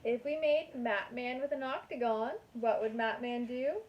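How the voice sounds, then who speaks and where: A woman's voice: fairly high pitch, measured pace, dull audio. A woman kindergarten teacher reading a picture book aloud to young children in a sing-song manner.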